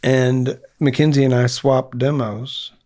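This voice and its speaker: A man's voice: mid-range voice, flat delivery, slow, darkish tone. A man in his fifties, a band singer, talking casually in a studio interview with lots of fillers and restarts. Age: 50s. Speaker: guest musician, singer in a band. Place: recording studio.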